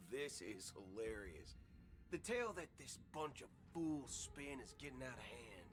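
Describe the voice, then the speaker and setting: An actor playing a cocky space cowboy gunslinger in a video game, with a taunting, folksy manner. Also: male voice, medium pitch, big pitch swings, measured pace, soft background music. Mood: amused menace.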